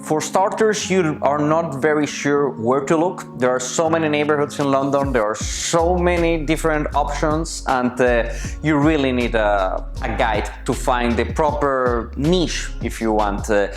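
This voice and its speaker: A man's voice: medium pitch, measured pace. Thoughtful man, faint music behind. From Colombia. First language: Spanish. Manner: thoughtful, searching for words. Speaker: interviewee. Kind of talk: personal interview answers.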